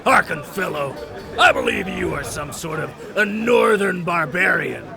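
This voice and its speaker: A man playing a boastful, lecherous fat rogue with a gravelly voice and bawdy, mocking bluster in a fantasy audio drama. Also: sits high, animated, unhurried, a crowd in the background, exaggerated voice. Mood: scornful mocking.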